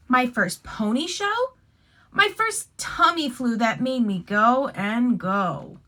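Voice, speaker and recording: Bright, high-pitched woman reading to children. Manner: expressive, deliberate phrasing, addressing young listeners. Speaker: teacher reading aloud to children. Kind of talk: animated picture-book read-aloud with friendly asides.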